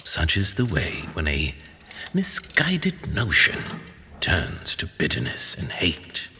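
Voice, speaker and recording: A solemn old male storyteller played by an actor in a fantasy audio drama. He unfolds the saga slowly and gravely, with an expressive, moving pitch. Noticeable background noise sits under the voice. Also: long reverb tail, exaggerated voice. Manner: measured, solemn. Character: solemn old male archivist narrating an epic war.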